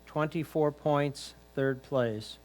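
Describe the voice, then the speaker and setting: A man's voice: on the high side, slow, a little mellow. A man reading out titles and scores as a competition announcer, in a formal announcing style.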